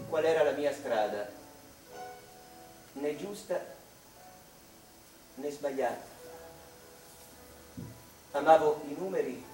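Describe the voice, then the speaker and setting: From a little far off in an echoey room, an actor plays a melancholy male mathematician. His theatrical monologue is slow, halting and wistful, like a confession, with faint music behind. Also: a little high, darkish tone. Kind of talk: dramatic stage monologue.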